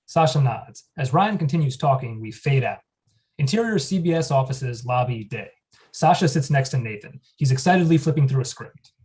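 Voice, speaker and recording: A man reads out the scene headings and stage directions at a script table read. His delivery is plain and matter-of-fact, at an even middle pitch. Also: moderate pace. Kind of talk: reading stage directions aloud.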